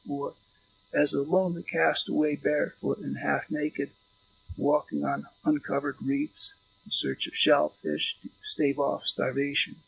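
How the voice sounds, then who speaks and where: Male audiobook narrator, slightly high pitch, gravelly creak, measured phrasing, literary storytelling. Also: a bit bright, poor audio.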